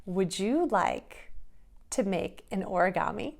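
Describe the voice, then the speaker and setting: A woman reading aloud and giving simple instructions to young children in an expressive way, as a preschool teacher. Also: medium pitch, slow, gravelly.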